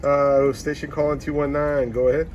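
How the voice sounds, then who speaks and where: A man talks as a CB radio operator, rattling off clipped callsigns and numbers between bits of casual slang. His voice sits at an ordinary pitch under loud radio static and noise. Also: little pitch movement, moderate pace, lo-fi sound. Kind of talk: casual CB radio skip talk, calling callsigns.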